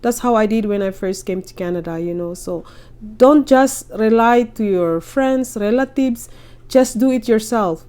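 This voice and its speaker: A woman's voice: on the high side, a little slow, a little mellow, clear, resonant tone. A woman vlogging at home with casual advice, chatty and encouraging, often checking in with her viewers. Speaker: vlogger giving caregiver job advice. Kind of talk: casual advice vlog to camera. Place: at home in Canada.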